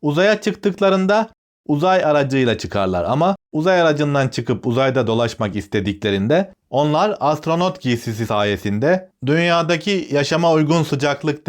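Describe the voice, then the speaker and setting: An inquisitive, helpful schoolboy from a storybook, read by a grown man. He explains his ideas eagerly in a mid-range voice with very animated, swinging pitch. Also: natural voice.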